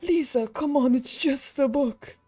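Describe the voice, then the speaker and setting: Woman gameplay commentator, casual and playful, slightly high pitch, soft game music underneath. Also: a little slow. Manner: playful, wry. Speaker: gamer, streamer.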